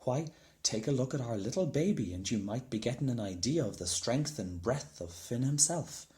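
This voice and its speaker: A man voicing a giant's wily wife in a storybook, sweet and coaxing with a calm cunning. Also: mid-range voice, moderate pace, close to an everyday voice. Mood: coolly boastful. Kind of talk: storybook character voice.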